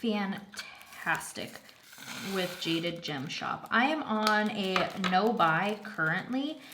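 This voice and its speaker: A woman's voice: mid-range voice, animated, slow pace, noisy background. A young woman chatting casually about a crafting project at home, slightly congested and rambling with lots of fillers. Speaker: craft vlogger. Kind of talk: casual chat.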